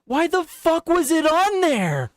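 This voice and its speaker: A man's voice: extremely high pitch, wildly swooping pitch, slow pace, no echo, clean studio sound. A young man hosting a casual live show, chatty and reactive, swearing and repeating himself as he reads headlines. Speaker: livestream host. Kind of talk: casual live news quiz chat.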